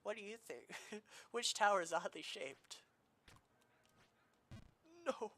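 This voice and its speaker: A young male streamer thinks out loud while playing, restarting sentences and poking fun at himself. His voice sits a bit high and comes across bright and forward. Allergies leave it slightly nasal. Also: expressive, slow pace, gravelly. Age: young adult. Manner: rambling, self-deprecating, lots of fillers and restarts. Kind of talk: casual gaming stream chatter.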